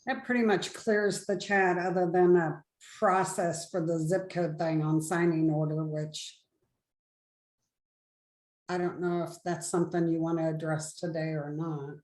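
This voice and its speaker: A woman's voice: fairly low pitch, steady tone, unhurried, darkish tone. A woman acting as moderator, relaying audience questions in an online group session. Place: indoors, online group call. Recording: echoey online call audio.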